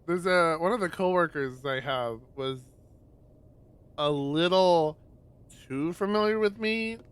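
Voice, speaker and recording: A man streamer reading a visual novel aloud and adding chatty, animated asides. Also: on the high side, takes it slowly, smooth.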